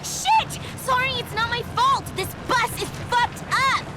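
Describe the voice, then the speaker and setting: A woman playing a gleeful, hyperactive, foul-mouthed teenage little sister in a video game, gushing loudly. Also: extremely high pitch, a little slow, over music. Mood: gleeful, grossed out. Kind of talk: exaggerated video game acting. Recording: game audio with noisy effects.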